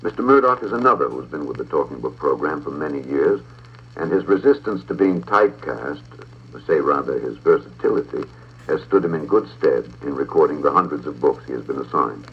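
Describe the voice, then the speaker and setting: A middle-aged man with a very low, husky voice reads scripted narration. His delivery is measured and formal, with precise diction. The sound is dull and muffled, like an old talking-book recording. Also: lively intonation. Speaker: audiobook narrator and presenter.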